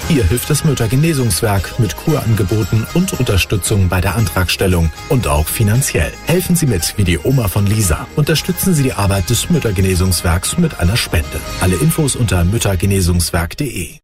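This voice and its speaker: Male announcer, lowish voice, earnest appeal, lively pitch, music behind. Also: brisk, few pauses. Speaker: commercial announcer. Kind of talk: charity radio ad. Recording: radio ad with music bed.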